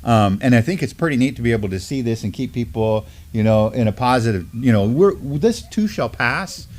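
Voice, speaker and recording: A man's voice: medium pitch, a little fast. Middle-aged guy hosting a news chat at a desk, easygoing, lots of ums and restarts. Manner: relaxed, many fillers and restarts. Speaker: news show co-host. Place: studio with mottled backdrop, at a desk.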